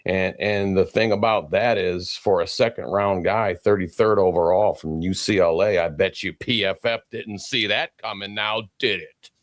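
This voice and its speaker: A man's voice: deep voice, normal speed, few pauses, dry recording, studio quality. A laid-back man co-hosting a casual sports chat, reeling off stats with a slightly stuffy nose. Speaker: co-host. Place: podcast studio.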